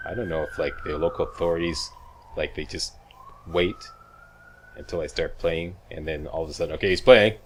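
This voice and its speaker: A man with a deep voice hosts a game walkthrough. He talks casually, with folksy, wisecracking asides, over faint background noise and game ambience. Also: fairly even intonation, brisk. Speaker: video game walkthrough host. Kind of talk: casual gameplay commentary.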